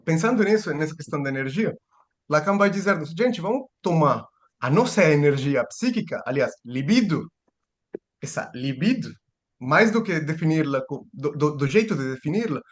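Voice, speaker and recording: A man giving a seminar lecture, thinking aloud with restarts and rhetorical questions. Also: on the high side.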